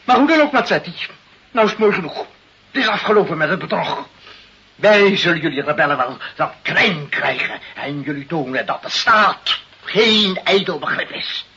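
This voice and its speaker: A blustering, self-important male official who preaches and stumbles over repeats. His voice is rather high and forceful, with big swings of pitch. The recording is an old, muffled radio play. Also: lively intonation, slightly rough, full character voice. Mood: indignant, threatening. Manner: pompous, preachy, halting repetitions. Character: pompous, blustering male government director, stern official. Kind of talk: Dutch radio play acting.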